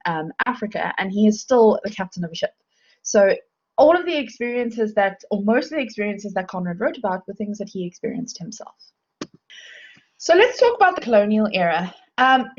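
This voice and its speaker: A woman giving a recorded university lecture, explaining in a measured way with frequent ums. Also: medium pitch, a little mellow, highs cut off.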